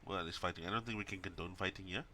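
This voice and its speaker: A man hosting casual reaction commentary, loose and joking with quick exclamations. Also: low-pitched, bright, forceful tone, a little hiss or hum. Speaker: host reacting to videos.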